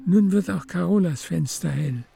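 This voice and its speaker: Creaky-voiced female radio play narrator. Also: lowish voice, moderate pace.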